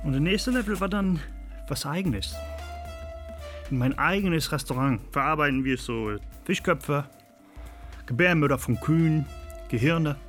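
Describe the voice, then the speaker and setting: Blunt, higher-voiced man over faint music. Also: measured pace, pauses often, fairly bright tone. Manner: blunt, terse sentences. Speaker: chef. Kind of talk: informal, blunt first-person commentary.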